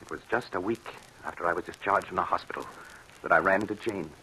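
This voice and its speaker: A low, tense male voice plays a haunted, bitter husband in a vintage radio thriller. The delivery is grave and dramatic, with faint noise from the old broadcast. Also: fast, sharp tone, rough recording. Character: haunted, bitter husband recounting his troubled marriage. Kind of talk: old-time radio drama acting, dramatic first-person narration.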